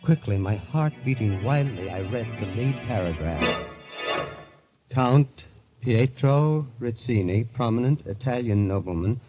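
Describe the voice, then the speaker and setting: An actor playing a haunted club member who tells his own story with measured, dramatic dread in a golden age radio mystery. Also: mid-range voice, animated, lightly acted. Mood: shaken, then grave reading. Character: haunted club member narrating his obsession, tense, confessional. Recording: dull old radio recording with music.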